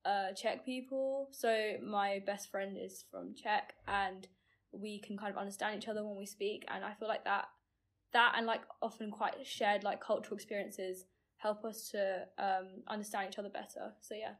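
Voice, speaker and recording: A woman's voice: mid-range voice, steady tone, moderate pace. A teenage girl speaking casually as a guest, with frequent fillers. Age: teens. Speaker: podcast guest.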